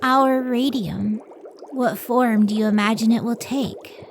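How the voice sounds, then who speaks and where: An actress plays a devoted woman scientist in an old-time radio drama. Her voice sits fairly high and she speaks with earnest, idealistic care. A noticeable hiss and room ambience sit behind her. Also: fairly even intonation, takes it slowly. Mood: tender wonder.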